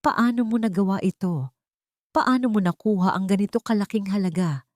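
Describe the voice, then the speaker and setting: A woman's voice: mid-range voice, moderate pace, dark tone, no room sound, natural voice. A woman narrator plays an emotional husband in a radio drama, shaken with gratitude and disbelief. The delivery is trembling and tearful, recorded dry and close. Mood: tearful relief.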